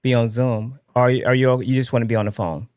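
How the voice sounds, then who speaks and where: A man's voice: mid-range voice, steady tone, fast, a little mellow, no echo. Male host for live political call-in talk, casual, effusive and repetitive, dry close sound. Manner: effusive, repetitive apologies and affirmations.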